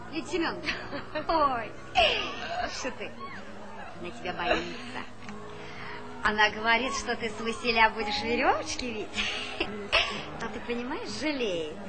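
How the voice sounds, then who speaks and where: An actress playing a gossipy, excitable village woman who gushes and exclaims in a folksy way, in a stage comedy. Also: on the high side, measured pace, pauses often, over music, crowd noise, poor audio. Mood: teasing. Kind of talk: Soviet stage comedy acting.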